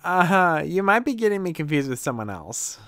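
A woman's voice: lowish voice, very animated, a little fast, fairly bright tone, no echo, studio quality. A woman voicing an awkward but boastful young goblin shop worker in a fantasy audio comedy, with an emphatic delivery. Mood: awkward evasion.

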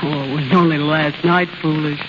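An actress playing a young, secretive snake charmer sweetheart in 1930s radio drama style. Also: female voice, low-pitched, steady tone, moderate pace, close to an everyday voice. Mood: secretive, fond. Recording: scratchy, dull 1930s radio broadcast.

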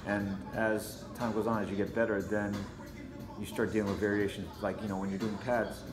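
A man with a lowish voice talks through his approach in a casual interview. He sounds conversational and explanatory, like a fighter who trains others. The room is echoey, with music behind him. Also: little pitch movement, brisk. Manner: conversational, explanatory, frequent "you know". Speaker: martial arts fighter and trainer being interviewed. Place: martial arts gym with mats, indoors. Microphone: camera a few feet away.

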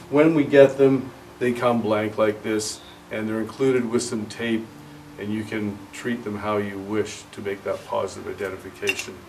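A man in his fifties giving a measured, explanatory product demonstration as a diving instructor, speaking outdoors. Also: mid-range voice, distant mic, a little hiss or hum, poor audio. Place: outdoors at a cave mouth by water.

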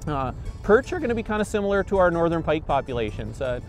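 A man's voice: a little high, big pitch swings, fast talker, faint music behind. A man in his forties, a fisheries biologist, answering interview questions outdoors by a river in an earnest, explanatory way, with frequent fillers and restarts.

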